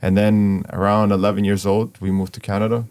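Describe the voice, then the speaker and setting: A young man from Ukraine speaks as an interview guest in a very low voice. He talks in a relaxed, rambling way, with many fillers and restarts. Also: a little fast. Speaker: guest, artist. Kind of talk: casual interview talk.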